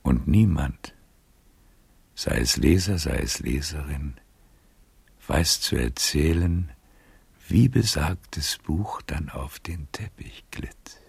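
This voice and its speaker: An aged, dreamy seducer in an intimate radio play. His voice is extremely low and very husky, murmured close and dry. He lingers tenderly over his phrases, halting as he savours the story. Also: animated, darkish tone, no room sound, heavily acted. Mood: hushed, spellbound. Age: older. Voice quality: husky, gravelly, breathy murmur. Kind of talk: intimate radio drama acting.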